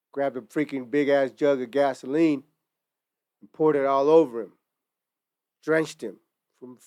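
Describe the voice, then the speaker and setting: A man's voice: mid-range voice, a little mellow, clean tone, dry recording, clean studio sound. A man speaks earnestly to camera. He tells stories in a reflective way and uses casual street slang. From Utah, United States. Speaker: merch brand founder. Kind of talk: earnest personal monologue to camera. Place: indoors in front of merchandise display.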